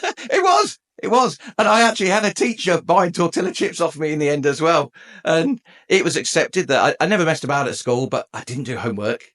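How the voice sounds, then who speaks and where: A man's voice: on the high side, very animated, a little fast, fairly bright tone. A man from England in his forties, a podcast guest telling his own story in a chatty, jokey way. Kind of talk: conversational storytelling.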